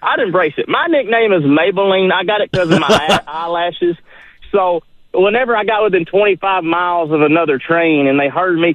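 Guy calling in, slightly high voice, tinny dry phone sound, folksy storytelling. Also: no room sound. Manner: folksy, colloquial. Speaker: radio show caller. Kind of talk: casual storytelling.

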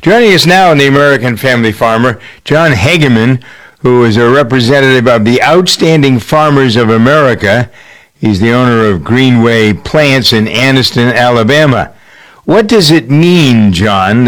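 A man's voice: mid-range voice, normal speed, fairly bright tone. A man hosting a radio farm program, interviewing a guest in a conversational, probing way. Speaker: radio show host.

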